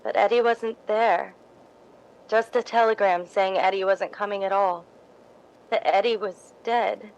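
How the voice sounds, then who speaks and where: A woman's voice: medium pitch, fairly even intonation, measured pace, lo-fi sound, lightly acted. A woman acting an earnest, humble young theater devotee in a 1950s-style radio drama, with a modest, hesitant way of speaking. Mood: wistful, humble. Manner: modest, hesitant, wistful storytelling.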